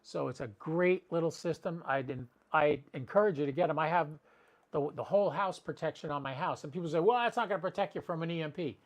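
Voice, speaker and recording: A man's voice: on the high side, quick pace. Chatty man hosting a casual livestream. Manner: chatty, rambling, addressing viewers by name. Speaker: amateur radio livestream host.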